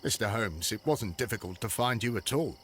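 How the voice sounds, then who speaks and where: Eager, lively police constable. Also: male voice, on the low side, a little fast, slight background noise. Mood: pleased. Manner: polite, obliging. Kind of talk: video game voice acting.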